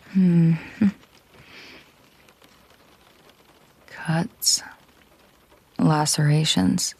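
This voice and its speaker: Slow, husky woman acting a dominant mafia boss girlfriend. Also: on the low side, a little mellow, exaggerated voice. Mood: protective, probing. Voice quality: breathy, husky. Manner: slow, teasing, commanding, drifting into breathy murmurs. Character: possessive, dominant female mafia boss girlfriend, coolly menacing. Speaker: audio roleplay performer. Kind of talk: intimate ASMR-style audio roleplay.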